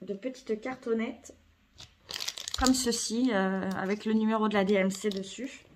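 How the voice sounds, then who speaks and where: A woman with a cold talks through an unboxing at home, stopping often to hesitate and correct herself. Her pitch sits in an ordinary middle range, and faint noise lies behind her voice. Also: a little slow, darkish tone. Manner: hesitant, many fillers and self-corrections. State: has a cold. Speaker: craft vlogger. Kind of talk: casual unboxing chat.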